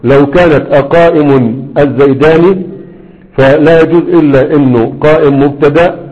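A man's voice: a little high, fairly even intonation, normal speed, a little mellow, highs cut off. A man with an Egyptian accent teaching Arabic grammar in a lecture, often quizzing his students with rhetorical prompts. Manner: questioning, prompts students with "what?". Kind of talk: classroom lecture explaining verse.

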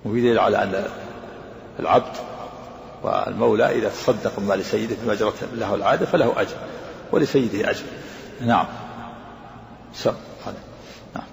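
Sheikh type, brisk and raspy, explains and repeats points; reverberant lecture hall. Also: male voice, fairly low pitch, slight background noise. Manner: measured explanatory, repeats phrases. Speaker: sheikh teaching hadith commentary. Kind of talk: classical Arabic lesson explanation. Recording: echoey hall, faint ambience.

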